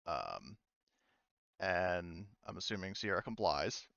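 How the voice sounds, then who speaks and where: Smooth low-voiced man running a game. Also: sing-song, a bit bright, no room sound, lo-fi sound. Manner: casual, halting, swears. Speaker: game master. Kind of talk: improvised tabletop game narration.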